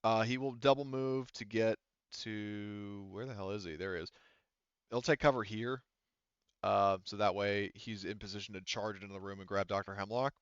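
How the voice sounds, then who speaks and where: Man, low voice, casual sweary game master, dry close sound, slightly muffled recording. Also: steady tone, moderate pace, smooth, dry recording. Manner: casual, profane. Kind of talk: running a tabletop role-playing game.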